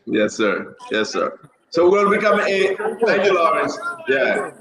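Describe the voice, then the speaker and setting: A man's voice: fairly high pitch, very animated, normal speed, crowd noise. Echoey man hosting live talk. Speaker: talk show host. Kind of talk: casual live talk show chat. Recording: roomy, echoing sound.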